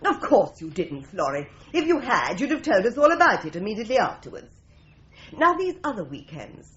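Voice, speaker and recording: A woman playing a sharp-tongued, gossipy British office secretary in a radio crime drama, brisk and dry. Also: mid-range voice, big pitch swings. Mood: teasing. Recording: muffled radio drama recording.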